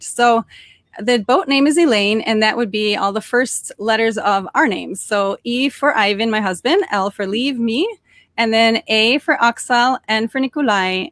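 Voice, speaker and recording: Young adult woman, podcast guest, cheerful storyteller, fairly high voice, close and dry. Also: a bit bright, no room sound. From born in Norway, raised in suburban Detroit, Michigan. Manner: chatty, cheerful, with frequent fillers and restarts. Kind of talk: casual interview storytelling.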